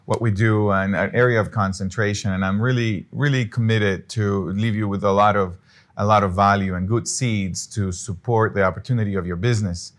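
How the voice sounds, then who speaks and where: Middle-aged man, low-pitched, earnest speaker addressing a room, restarts his sentences. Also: steady tone, normal speed. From Colombia. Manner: earnest, restarts phrases often. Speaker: business speaker. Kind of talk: presentation to an audience.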